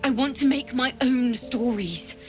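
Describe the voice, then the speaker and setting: A woman's voice: high voice, unhurried. An actress playing a timid, earnest young female bard in a fantasy video game, hesitant and pleading, with music behind her.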